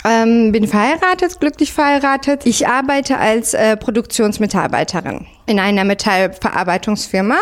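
A woman's voice: a little high, moderate pace, few pauses. A woman in her late thirties, a mother and factory worker, talking earnestly in an interview. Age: 30s. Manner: earnest, thoughtful. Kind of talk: interview answers.

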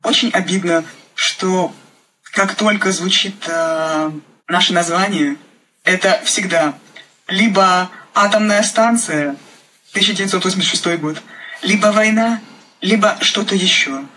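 A woman from Ukraine speaking reflectively as an interviewed playwright, halting and searching for her words. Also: on the low side, pushed and bright, rough recording. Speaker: guest playwright. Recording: faint background noise.

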